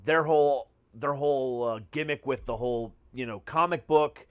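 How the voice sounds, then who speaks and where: An American man hosting a podcast in casual chat, halting and often reworking his phrases as he goes. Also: medium pitch, smooth, no echo. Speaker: podcast host.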